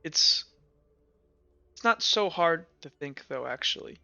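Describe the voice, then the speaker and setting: A man voicing a somber, troubled man in a tabletop game, confiding gently in a hesitant, earnest way. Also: fairly high pitch, expressive, slow, vocal fry, no echo, heavily acted. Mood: somber, reflective. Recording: dull, lo-fi with music behind.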